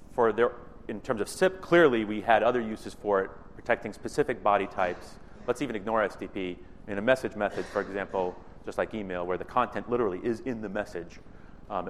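Loud-voiced man presenting in a hall. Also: lowish voice, a little hiss or hum. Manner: loud voice, conversational with many fillers. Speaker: presenter at a technical standards meeting. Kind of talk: presenting slides, then open floor discussion. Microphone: microphone in a meeting room.

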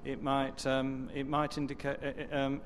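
A man's voice: mid-range voice, completely flat delivery, normal speed, in a large hall, heavy background noise. A man answering a question at a technical meeting, stammering at the starts and working through examples. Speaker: co-author answering from the floor. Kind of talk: open-floor discussion.

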